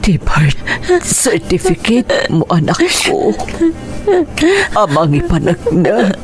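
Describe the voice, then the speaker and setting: A woman playing a dying mother in an Ilocano radio drama, crying and weak as she confesses a secret in halting, gasping phrases, with an Ilocano Filipino accent. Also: lowish voice, lively intonation, faint music behind. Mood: weak confession.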